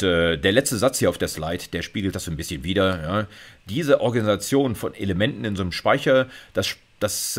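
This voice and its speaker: Low-voiced, animated middle-aged male lecturer. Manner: conversational, frequent check-in tags like "okay" and "ja". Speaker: university lecturer. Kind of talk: informal explanatory lecture over slides.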